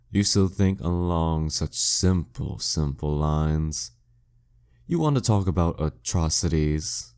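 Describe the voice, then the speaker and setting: A man's voice: extremely low pitch, mellow, heavily acted. A man playing a proud, dominant demon king in an audio roleplay, teasing and condescending in an intimate scripted monologue. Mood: coaxing, condescending. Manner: slow, teasing, condescending, smugly theatrical. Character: dead male demon king, taunting, dominant, proud former nemesis.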